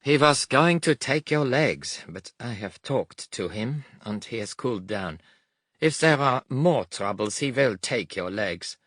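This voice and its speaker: A man voicing a weary, patient German prison camp officer with a German accent and a long-suffering, halting manner. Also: medium pitch, expressive, a bit bright, breathy edge, dry recording, studio quality, pitched above the performer's own voice. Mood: weary, warning.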